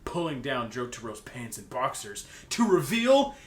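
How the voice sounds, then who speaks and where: A man's voice: big pitch swings, unhurried. A young man reading fan fiction aloud for laughs, mixing casual banter with hammy delivery and stumbling over words. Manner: giggly, stumbles over words, hams up quotes. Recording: echoey room with faint rumbling noise.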